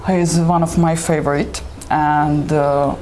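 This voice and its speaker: A low-voiced woman from Slovakia, Hungarian her first language, speaking haltingly with frequent fillers and restarts. Her answers come through over a clearly audible noisy background. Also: level tone, darkish tone. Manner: hesitant, many fillers and restarts. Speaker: guest poet. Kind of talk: conversational interview answers. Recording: noisy room ambience.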